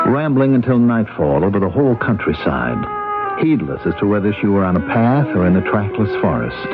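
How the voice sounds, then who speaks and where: Deep storyteller over loud music. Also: male voice. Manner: measured, literary storytelling. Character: reflective storyteller recalling his family's strange past. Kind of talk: scripted dramatic radio narration. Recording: dull lo-fi radio broadcast recording. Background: loud dramatic music.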